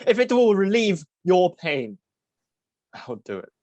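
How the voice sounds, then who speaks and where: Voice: medium pitch, sing-song, slow, a little mellow, no room sound, highs cut off. A woman playing a resolute male friend who offers grim help in a staged play reading. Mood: grave, resolute.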